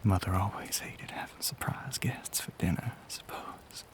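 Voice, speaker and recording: A man playing a lonely, hungry Southern gentleman vampire in an intimate audio roleplay, speaking haltingly in a breathy voice with a Southern US accent. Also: deep voice, fairly even intonation, pitched below the performer's own voice, natural voice. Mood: hesitant, conflicted. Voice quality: breathy, whispery. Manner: halting, hesitant, drawn-out phrases.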